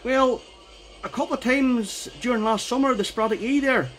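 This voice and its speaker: A man's voice: very high-pitched, normal speed, a bit bright. A man chatting casually and informally as an amateur radio operator, often checking that he is understood. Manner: chatty, informal, repeats "you know what I mean". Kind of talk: casual radio contact chat.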